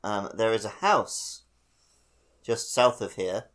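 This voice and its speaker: A man's voice: fairly low pitch, lively intonation, slow, a bit bright. A man co-hosting a podcast, casually discussing a video game and giving his own take. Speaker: podcast co-host.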